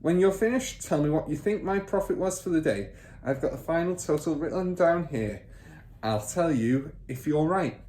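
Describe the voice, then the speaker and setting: A man voicing a boastful, bullying father and crooked car dealer in a children's storybook, loud, pompous and emphatic. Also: on the high side, lively intonation, moderate pace, voice pushed up, lightly acted. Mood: pompous, bossy. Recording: roomy echo, faint background noise.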